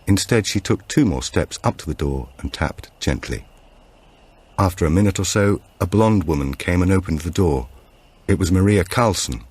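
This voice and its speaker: A man reading a suspense thriller as an audiobook narrator, in a measured, scripted way. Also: low-pitched, animated, darkish tone, breathy edge. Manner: measured, acts out dialogue.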